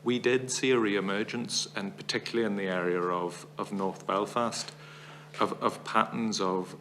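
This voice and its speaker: Man, very low voice, formal committee witness, measured, analytical, long reasoned points. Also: a bit bright, slight background noise.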